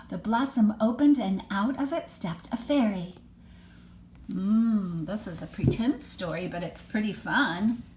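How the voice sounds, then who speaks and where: A woman's voice: mid-range voice, takes it slowly, slight background noise. An older woman, a grandmother, reading a storybook expressively to children with chatty asides. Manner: child-directed, dramatic emphasis, gasps and hushed asides.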